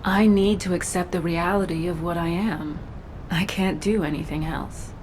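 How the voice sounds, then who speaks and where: An actress playing a stoic, dutiful cyborg soldier commander, composed and earnest, in a video game. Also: female voice, lowish voice, fairly even intonation, normal speed, darkish tone, a little hiss or hum, natural voice. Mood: resigned, resolute.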